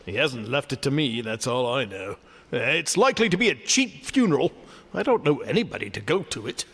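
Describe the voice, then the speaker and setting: Casting: dry, indifferent Victorian businessman, radio drama; fry-laden, animated, offhand. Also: mid-range voice, fairly quick, exaggerated voice. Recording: reverberant hall sound.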